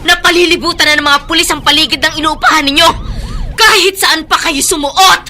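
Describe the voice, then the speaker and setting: A woman's voice: high-pitched, a little fast, bright, forceful tone, a little hiss or hum. A woman playing a firm, indignant, protective mother with emphatic delivery in a Tagalog radio drama. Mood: accusing, triumphant. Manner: emphatic, righteous.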